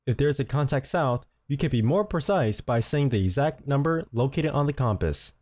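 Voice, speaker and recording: A man's voice: medium pitch, lively intonation, moderate pace, darkish tone, no echo. A man giving scripted instructional narration as the host of a tutorial, speaking close to the mic.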